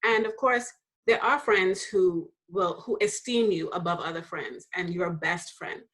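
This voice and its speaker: A woman hosting a casual faith conversation. She talks in a lively way, laughs often, and jumps into high voices when quoting people. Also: measured pace. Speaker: host.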